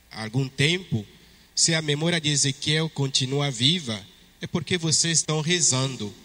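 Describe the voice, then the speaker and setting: Man, Togolese priest, French-speaking West African accent, bright forceful voice, long church reverb. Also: mid-range voice. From Togo. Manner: slow, measured liturgical delivery. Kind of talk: Gospel reading and homily at Mass.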